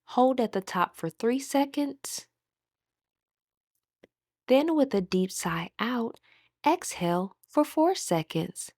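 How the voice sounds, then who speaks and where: A woman guiding a meditation in a soothing, deliberate manner with gentle pauses. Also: on the high side, expressive, dry recording, clean studio sound. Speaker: meditation guide. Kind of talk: guided meditation narration.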